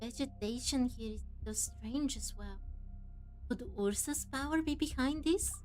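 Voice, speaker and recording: A woman's voice: sits high, a little slow, a little mellow. A woman giving casual game playthrough commentary, wondering aloud and questioning what happens. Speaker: game streamer. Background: game music.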